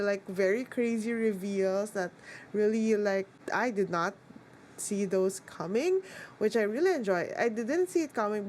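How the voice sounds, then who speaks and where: A woman's voice: medium pitch, darkish tone, a little hiss or hum. A woman whose first language is Tagalog, chatting casually about her reading as a book vlogger, with frequent fillers and a rambling flow. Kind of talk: casual chat.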